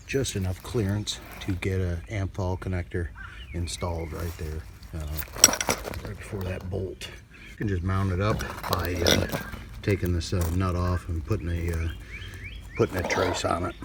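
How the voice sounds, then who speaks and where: Low-voiced man, noisy outdoor recording. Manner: halting, folksy, offhand. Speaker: host of a DIY radio repair channel. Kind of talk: casual hands-on repair walkthrough. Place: outdoors beside a car.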